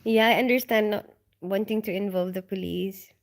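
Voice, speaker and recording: A woman in the middle of her vocal range, hosting a relaxed live stream from home late at night. She reads out viewers' comments and answers them in a loose, chatty way, with ums and soft laughter along the way. Her voice sounds tired and drowsy. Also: medium pitch, normal speed, creaky. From Philippines. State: tired, getting sleepy. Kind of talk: casual live-stream chat.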